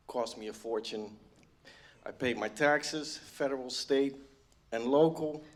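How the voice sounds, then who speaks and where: A man from the Netherlands, an audience member asking a pointed, prepared question at a town meeting. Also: mid-range voice.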